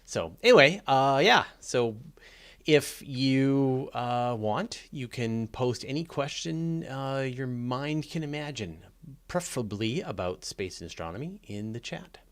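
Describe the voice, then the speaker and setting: A man hosting a live question-and-answer stream in casual, unscripted speech, haltingly restarting his phrases as he rambles along. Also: mid-range voice, animated. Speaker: host of a live astronomy Q&A stream. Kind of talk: casual, unscripted livestream chat.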